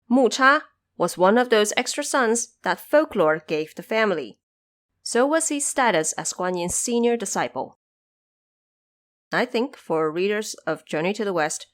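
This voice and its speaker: A woman hosting a podcast, explaining background notes in a measured, instructive way with conversational asides. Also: fairly low pitch. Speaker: podcast host. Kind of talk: explanatory production-notes narration.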